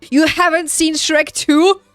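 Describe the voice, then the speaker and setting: A woman's voice: high-pitched, steady tone, a little slow, fairly bright tone, no echo, faint music behind. A woman livestreaming a game, chatting casually with her viewers in a playful, teasing way. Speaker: video game streamer.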